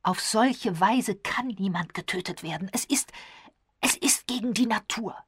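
A woman's voice: mid-range voice, breathy edge, dry recording, clean studio sound, pitched above the performer's own voice. A woman voicing an agitated, disbelieving man in a storybook, speaking urgently and exclaiming. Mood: stunned, disbelieving. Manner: urgent, exclaiming, halting repetitions.

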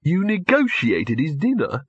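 A man's voice: a little high, huge pitch swings, a little slow, slightly rough, no echo, dull audio, voice pushed up, full character voice. A man voicing a mild, earnest, doubtful young man in a storybook reading. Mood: incredulous.

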